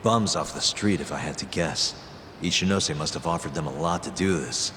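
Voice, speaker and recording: A man's voice: a bass voice, moderate pace, fairly bright tone, a little hiss or hum. A man playing a level-headed, resolute investigator in a video game cutscene, casual and matter-of-fact. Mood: calm, calculating. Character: level-headed, resolute male investigator, adult, quick-thinking. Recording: long reverb, music behind.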